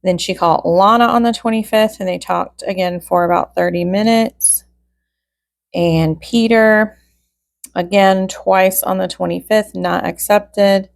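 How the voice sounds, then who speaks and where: A woman's voice: mid-range voice, steady tone, a little slow, vocal fry. A woman hosting true crime commentary, reading through a log informally and item by item, with wry asides.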